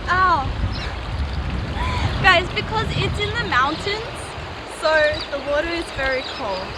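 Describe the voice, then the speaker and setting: Young woman, high-pitched and unhurried, casual chatty vlogger, very noisy outdoor recording. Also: lively intonation. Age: 20s. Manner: giggly, chatty. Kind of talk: casual vlog chat. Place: outdoors at a riverside campground. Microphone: handheld camera at arm's length. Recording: noisy outdoor camera, stream and ambience.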